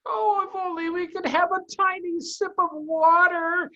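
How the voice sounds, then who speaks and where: A man's voice: very high-pitched, fairly even intonation, measured pace, bright, forceful tone, voice pushed up, full character voice. A man voicing an anxious, pleading small fox cub in a children's storybook reading, on lo-fi audio. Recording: dull, lo-fi video-call audio.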